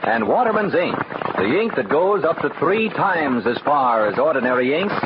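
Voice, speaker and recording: A man's voice: on the high side, sing-song, normal speed. A man announcing a scripted program in an emphatic, declamatory 1940s radio style. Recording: noisy, muffled old radio recording.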